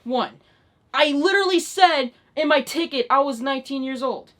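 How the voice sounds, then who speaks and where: A woman's voice: sits high, lively intonation, moderate pace, fairly bright tone. A nineteen-year-old woman ranting in a casual, unscripted vlog style, exasperated and emphatic, with plenty of swearing. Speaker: online video creator.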